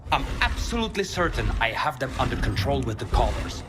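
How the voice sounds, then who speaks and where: An actor playing a grandiose, self-assured mad scientist in a game, speaking in a pompous, articulate way. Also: male voice, mid-range voice, sing-song, a little fast, music in the background, natural voice. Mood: proud, confident.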